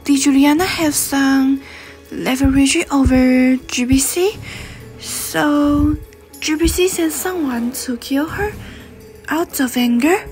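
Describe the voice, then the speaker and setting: High-voiced inquisitive female investigator, woman acting. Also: slow, darkish tone. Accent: Taiwanese. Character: young female investigator, inquisitive, speculating partner of detective. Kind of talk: student English radio drama. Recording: voice over clear background music.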